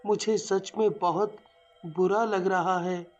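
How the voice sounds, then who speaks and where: Slow, high-pitched man acting an earnest character. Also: fairly even intonation. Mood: sympathetic. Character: slow-speaking, emotional male character in a moral tale. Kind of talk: dramatised cartoon moral story. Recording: dull lo-fi sound, faint music behind.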